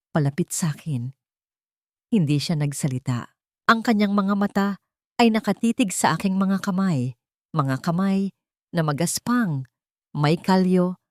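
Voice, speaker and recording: A woman with a Filipino accent narrating a dramatic first-person story in measured, solemn, deliberate phrases. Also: fairly low pitch, a little mellow, dry recording, clean studio sound. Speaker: audiobook narrator.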